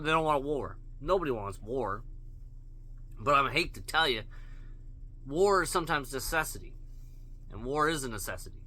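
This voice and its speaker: A man's voice: medium pitch, sharp tone. A man ranting bluntly to his viewers, profane and full of rhetorical questions. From Canada. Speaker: vlogger. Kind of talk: opinion rant to camera.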